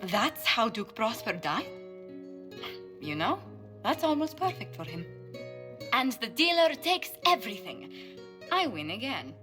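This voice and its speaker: A refined fantasy-game lady ambassador, playful and coy. She speaks very slowly with lilting, animated pitch and formal politeness. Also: music in the background. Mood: smugly triumphant. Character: genteel, playful lady ambassador and card player. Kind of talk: video game cutscene acting.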